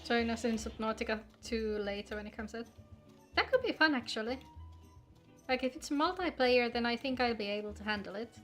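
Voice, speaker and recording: A woman with a fairly high voice talks casually as she streams a game. Her manner is playful and informal, with some loose swearing. Music plays behind her. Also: fairly quick, fairly bright tone. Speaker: live streamer playing an online game. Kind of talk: casual gaming livestream chat.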